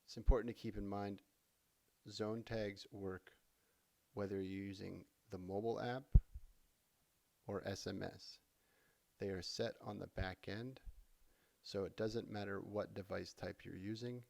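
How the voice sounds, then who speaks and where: A man narrating a software tutorial in a casual, conversational way, explaining things step by step as an instructor. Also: fairly low pitch, steady tone, a little slow, vocal fry, pauses often. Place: voice-over for a screen recording.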